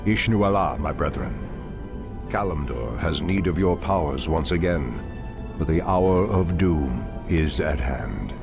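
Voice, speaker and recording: Super deep gravelly old elf archdruid, grave n formal, game cutscene w music. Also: male voice, expressive, exaggerated voice. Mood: solemn, commanding. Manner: slow, grave, archaic formal speech. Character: ancient, solemn night elf archdruid, deep and commanding. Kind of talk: dramatic fantasy game acting. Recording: orchestral game music behind voice. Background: loud music.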